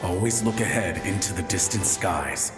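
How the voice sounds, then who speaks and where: Deep, echoing ghost soldier. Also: male voice, expressive, normal speed. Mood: eerie storytelling. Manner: taunting, folksy storytelling. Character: teasing, chatty male ghost of a lighthouse soldier. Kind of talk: video game voice acting. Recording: long echoing reverb with music behind.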